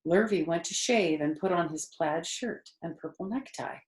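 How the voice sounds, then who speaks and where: Female children's storyteller: lower register, expressive and deliberate, recorded in a roomy, echoing space.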